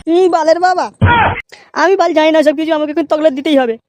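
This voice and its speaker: A woman's voice: high voice, a little fast, lightly acted. A woman voicing a brash, foul-mouthed cartoon character who scolds and demands with crude sarcasm, over faint background noise. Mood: angry, demanding. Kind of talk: comic cartoon dialogue.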